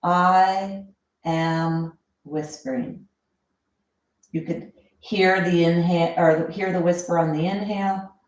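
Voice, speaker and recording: A woman instructor leading a guided breathing meditation in a calm, soothing, measured way, leaving long pauses. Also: lowish voice. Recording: very echoey, reverberant room.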